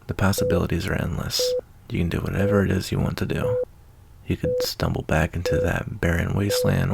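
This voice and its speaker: A man playing a kindly, easygoing wasteland doctor in a soft-spoken, intimate ASMR roleplay, reassuring a patient in a folksy way. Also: low voice, vocal fry, heavy background noise, exaggerated voice.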